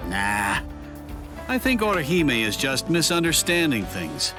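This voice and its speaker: An actor playing a brash, hot-tempered young swordsman in an anime game dub, talking bluntly and gruffly. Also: mid-range voice, sing-song, unhurried, over music. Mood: awkward, subdued.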